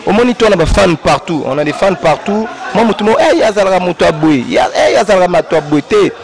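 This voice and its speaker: Congolese-accented male guest artist, high gravelly voice, rambling, soft music under. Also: expressive, quick pace. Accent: Congolese French. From Congo-Brazzaville. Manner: rambling, repetitive, shout-outs. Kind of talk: interview in Lingala and French.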